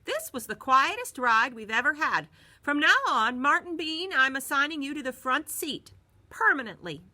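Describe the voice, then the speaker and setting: A woman's voice: high-pitched, big pitch swings, normal speed, pushed and bright, voice pushed up, full character voice. A woman voicing a firm, commanding woman school bus driver. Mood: stern.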